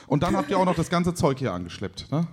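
A man's voice: on the high side, sing-song, fast talker, husky. A man hosting a DJ show, talking casually with guests in speech and tagging sentences with "ne?". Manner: chatty, keeps adding "ne?" tags. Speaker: host of a DJ stream show. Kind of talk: casual interview chat.

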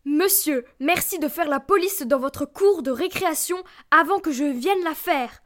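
A woman's voice: sits high, measured pace. A young female amateur actor playing a curt, exasperated mother in a theatrical letter reading. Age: teenager or young adult student.

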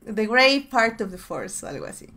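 A woman's voice: on the high side, very animated, moderate pace, bright, forceful tone. A woman hosting a casual panel chat, talking expressively and often repeating her phrases. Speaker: podcast host moderating the panel.

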